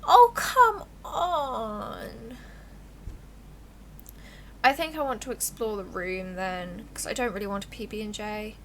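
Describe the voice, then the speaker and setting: Higher-voiced woman livestreaming over background noise. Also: slow pace, long pauses. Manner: giggly, expressive, reacts out loud. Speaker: game livestreamer. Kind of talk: casual livestream commentary, reading game text aloud.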